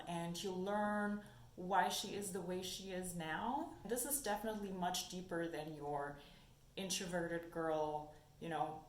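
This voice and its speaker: A young woman whose first language is German, chatting casually about books in a haul video and thinking aloud as she goes. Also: lowish voice. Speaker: book vlogger. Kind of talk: casual book haul chat. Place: sunny room indoors. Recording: echoey room with faint noise.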